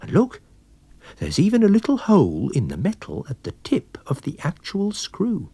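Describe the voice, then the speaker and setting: Guy playing polite wine salesman sleuth in an audiobook story, really animated pitch swings, dry close sound. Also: moderate pace, slightly rough, dry recording, full character voice. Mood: eagerly explaining. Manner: polite, tactful, gently pointed. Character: affable, observant wine salesman turned amateur sleuth, male. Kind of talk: dramatised audiobook reading.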